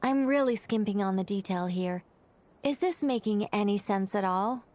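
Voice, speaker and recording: A woman's voice: medium pitch, normal speed, a little mellow, slight background noise, close to an everyday voice. A woman playing an eccentric, earnest and wordy young scholar mage in a video game, with awkward, hesitant phrasing. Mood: earnest, explanatory. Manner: rambling, hesitant starts, wordy.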